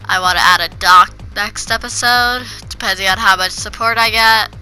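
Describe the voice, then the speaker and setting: A woman's voice: mid-range voice, normal speed, sharp tone, clear, resonant tone, faint music behind, poor audio. A woman with a cold, sounding congested, chatting casually over her gameplay and rambling with frequent restarts. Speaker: gaming video creator.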